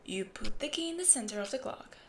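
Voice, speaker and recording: Steampunk clock repairer , played by a woman: female, patient, secretive, slightly high bright voice, faint noise behind, audio roleplay. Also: expressive, fairly quick, gravelly. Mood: patient, focused. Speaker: amateur voice actor. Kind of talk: audio roleplay, speaking to the listener.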